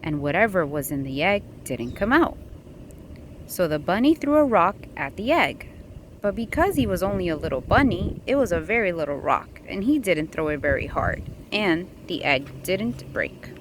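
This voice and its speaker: Animated woman reading a picture book aloud. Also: on the low side, normal speed, background noise. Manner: expressive, asking the child questions. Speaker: storyteller reading aloud to a child.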